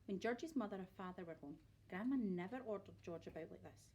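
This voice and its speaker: A woman's voice: medium pitch, expressive, fairly quick, a little mellow, slightly rough. A woman narrating a children's story aloud expressively, like a teacher reading to pupils.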